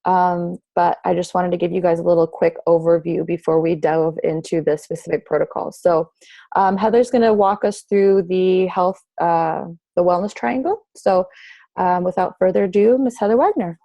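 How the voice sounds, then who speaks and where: A woman's voice: fairly low pitch, moderate pace, vocal fry, mellow, no echo, lo-fi sound. A mother in her thirties presenting an online class, speaking with lots of fillers.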